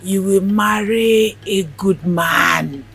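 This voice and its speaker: An actress playing a frail elderly grandmother who gives slow, solemn blessings. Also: mid-range voice, vocal fry, a crowd in the background. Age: older.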